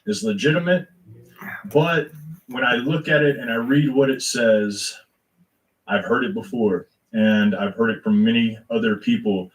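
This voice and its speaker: A man's voice: medium pitch, unhurried, poor audio. A man hosting an online show, addressing his viewers earnestly before reading them a letter. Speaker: channel host.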